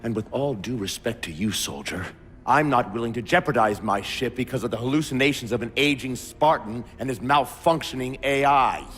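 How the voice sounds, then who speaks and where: A man's voice: medium pitch, moderate pace, a bit bright, soft background music, close to an everyday voice. An actor playing a stubborn, domineering male starship captain, speaking in a curt, commanding way in a video game cutscene. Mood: dismissive. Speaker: ship captain. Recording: game audio with reverb and score.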